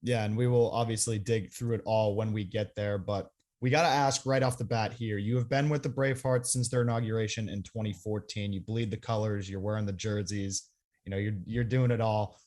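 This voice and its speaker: A man's voice: on the low side, a little fast, darkish tone. A man hosting a podcast, presenting in an informal, presenterly way. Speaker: podcast host. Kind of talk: casual show hosting.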